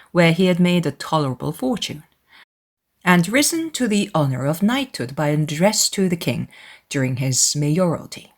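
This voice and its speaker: Lower-pitched woman narrating an audiobook. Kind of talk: scripted literary narration of a classic novel.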